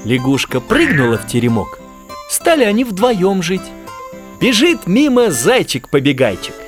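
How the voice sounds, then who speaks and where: A man narrating a children's fairy tale in an expressive, theatrical storytelling style. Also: high voice, a little slow. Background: children's music.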